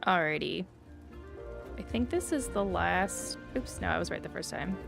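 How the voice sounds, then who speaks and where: A woman game streamer chatting casually and informally to viewers, rambling a little in a creaky voice. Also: lowish voice, animated, normal speed. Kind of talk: casual livestream chat over gameplay. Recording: faint music behind the voice. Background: faint game music.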